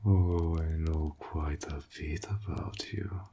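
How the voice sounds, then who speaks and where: A man playing a seductive, menacing warlock in an intimate roleplay audio drama, smug and possessive, teasing in a gravelly, breathy half-whisper. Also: very deep voice, steady tone, slow, dark tone, slight background noise, full character voice. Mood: smug, coaxing.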